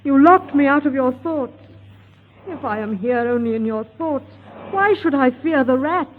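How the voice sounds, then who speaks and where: An actress playing the gentle apparition of a prisoner's beloved, in the style of old radio horror. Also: female voice, high voice, expressive, unhurried. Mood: tender, searching. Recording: thin phone-like, noisy old radio sound.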